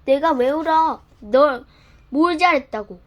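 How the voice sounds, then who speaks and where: A woman's voice: high-pitched, measured pace, pitched above the performer's own voice. A woman voicing a young girl who scolds her little brother in a bedtime story. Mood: scolding.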